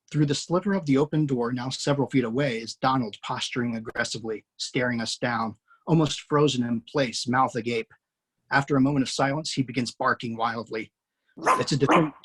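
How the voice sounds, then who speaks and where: Male reader of script action lines, husky, steady delivery. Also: mid-range voice. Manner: steady, matter-of-fact. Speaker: screenplay reader. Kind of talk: reading screenplay action lines.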